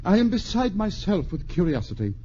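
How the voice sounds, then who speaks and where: A man's voice: high voice, very animated, a little fast, mellow, slight background noise. An actor playing a curious Roman nobleman at a banquet, in 1940s radio acting with an eager, gossipy manner, on an old transcription recording. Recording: dull transcription disc recording.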